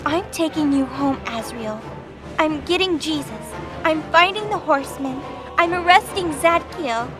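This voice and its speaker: An actress playing a bossy, forceful supernatural enforcer in an audio drama, commanding and insistent, with music behind her. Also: high-pitched, lively intonation, measured pace. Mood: stern, commanding.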